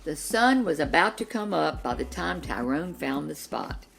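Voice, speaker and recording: Animated woman storyteller reading for children. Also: deep voice, moderate pace, gravelly, faint music behind, rough recording. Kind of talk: expressive scripted children's story narration.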